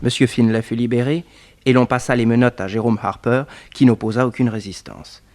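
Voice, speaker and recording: An actor playing an earnest detective's assistant who narrates a French radio serial in a theatrical way. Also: male voice, medium pitch, fairly even intonation, a little fast, darkish tone, lightly acted. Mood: composed storytelling. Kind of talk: dramatic first-person radio narration, 1960s. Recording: slightly noisy old radio recording.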